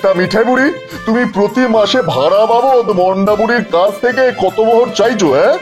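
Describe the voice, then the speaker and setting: A man voicing a king in a cartoon, commanding and measured as he judges a quarrel. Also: sits high, close to an everyday voice. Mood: judging. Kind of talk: cartoon voice acting. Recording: music under voice.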